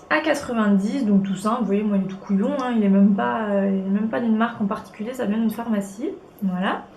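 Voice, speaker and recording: A woman's voice: on the high side, a little mellow. A young woman with a cold, sniffling as she chats bubbly and casually with many fillers through a beauty tutorial at home. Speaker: beauty vlogger. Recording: echoey room with faint noise.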